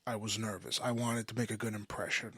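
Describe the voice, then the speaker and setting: A man in his mid twenties giving a personal podcast monologue, halting and often restating himself for emphasis. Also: fairly low pitch, level tone, a bit bright, breathy edge, dry recording. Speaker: podcast host. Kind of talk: personal monologue, confessional storytelling.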